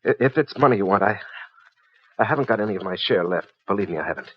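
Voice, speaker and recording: An actor playing a frightened, mild former crook who pleads and stammers in an old radio crime drama. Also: male voice, on the low side, animated, fast, husky. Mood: anxious pleading. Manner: nervous, stammering. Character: frightened, pleading, mild male former accomplice turned bank cashier. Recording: noisy, dull old radio recording.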